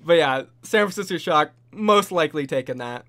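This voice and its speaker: A young man hosting a casual esports prediction chat, animated and full of bold, hype-driven takes. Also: fairly high pitch, moderate pace. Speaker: host.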